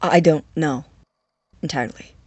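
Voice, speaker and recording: A man playing a nervous, obsessive, awkwardly eager young student scientist in an audio drama, stammering in a breathy, husky voice. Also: a little high, normal speed, no room sound. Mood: pensive, uncertain. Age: young adult. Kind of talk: naturalistic audio drama acting.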